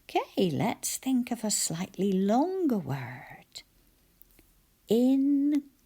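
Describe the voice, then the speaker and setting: A woman with a soft, often whispered voice teaching spelling and sounding out letters one by one. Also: mid-range voice, huge pitch swings, breathy edge. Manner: slow, soft-spoken, sounds out each letter.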